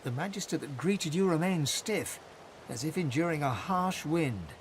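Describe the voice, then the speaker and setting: An actor narrating a fantasy video game in a measured, literary, solemn style. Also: male voice, fairly high pitch, expressive, slight background noise, natural voice. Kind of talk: scripted narration.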